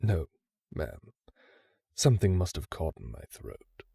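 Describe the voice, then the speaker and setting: A courteous male purveyor to an exclusive hotel, played by a man in an audio roleplay, his voice low, dark and husky. He speaks formally and with dry deference, his slow delivery swooping in pitch. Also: very animated, no room sound, full character voice. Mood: drily deferential. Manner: formal, deferential, drily understated.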